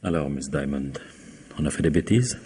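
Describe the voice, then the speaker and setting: An actor playing a sardonic, dogged police inspector in a French radio mystery, prodding with irony and drawn-out interjections. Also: male voice, rumbling low, very noisy. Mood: ironic, pressing. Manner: ironic, prodding, drawling interjections. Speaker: actor in a radio crime drama.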